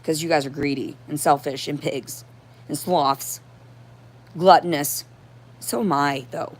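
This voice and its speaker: Hoarse, confrontational woman livestreamer. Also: low voice, a little hiss or hum. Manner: confrontational, profane, disjointed. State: hoarse throat. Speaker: livestream host. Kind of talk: rambling unscripted livestream monologue.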